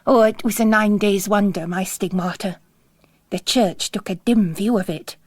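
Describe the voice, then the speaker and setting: A woman's voice: medium pitch, normal speed, no room sound, lightly acted. A woman voicing an impetuous, earnest young nun with an urgent, outspoken manner in a story reading. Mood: chatty, indignant.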